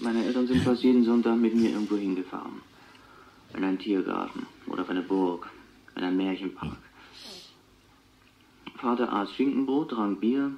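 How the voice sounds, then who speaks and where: An actor playing a clairvoyant who recalls his childhood in a measured, reflective way on a taped radio drama interview. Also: lowish voice, mellow. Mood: wistful, reflective. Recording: dull tape recording with faint noise.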